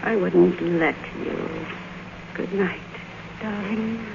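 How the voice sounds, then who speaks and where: An actress playing an aging silent film queen in a radio drama, grand, fragile and possessive, with halting theatrical delivery. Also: medium pitch, gravelly, heavily acted. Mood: wounded, controlling. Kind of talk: 1950s radio drama acting. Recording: noisy, dull old radio broadcast recording.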